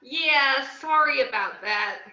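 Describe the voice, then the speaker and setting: A woman's voice: high-pitched, slow pace, bright, forceful tone, full character voice. A woman reading the part of a bubbly, brazen young female villain in an online script table read. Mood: cheeky. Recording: dull, roomy video-call audio.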